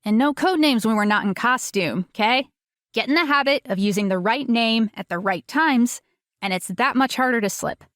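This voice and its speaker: A woman's voice: on the high side, normal speed, fairly bright tone, dry recording, clean studio sound, close to an everyday voice. A woman playing a knowing, self-assured teenage girl villain in an audio drama, with a matter-of-fact, coaching manner.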